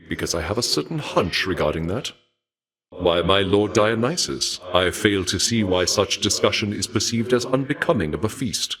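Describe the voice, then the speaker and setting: A man voicing a courteous, regal god of war in a video game, speaking formally in archaic, measured phrases with a dark fascination for death. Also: sits low. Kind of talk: scripted video game character dialogue.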